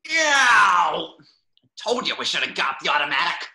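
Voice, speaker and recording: A man's voice: high voice, very animated, unhurried, creaky, pushed and bright, heavily acted. A man playing a grumpy, sarcastic superhero sidekick in a cartoon table reading, whining and griping in an echoey room. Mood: alarmed.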